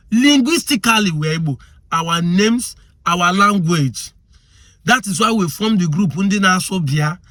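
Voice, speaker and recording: A man's voice: high-pitched, a bit bright, no echo, studio quality. A man with a Nigerian accent giving impassioned commentary, arguing hard and firing off rhetorical questions. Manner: argumentative, fires rhetorical questions.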